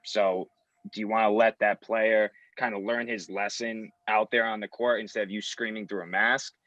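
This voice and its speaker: A man's voice: lowish voice, steady tone, normal speed, a bit bright, dry recording. A man who is an assistant basketball coach, answering in a loose, reflective way full of filler words. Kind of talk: podcast interview answers. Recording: dry, dull lo-fi sound.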